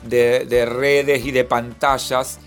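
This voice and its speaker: A man's voice: mid-range voice, level tone, takes it slowly, faint music behind. A man, reflecting on his reading and libraries with many restarts. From Argentina. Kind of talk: personal interview testimony.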